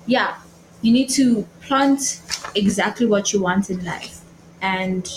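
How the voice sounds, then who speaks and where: A young woman who is not a native speaker, chatting casually on a panel and pausing to find her words. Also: mid-range voice, a little hiss or hum, rough recording. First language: not English. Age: young adult. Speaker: podcast panelist. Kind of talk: casual faith discussion.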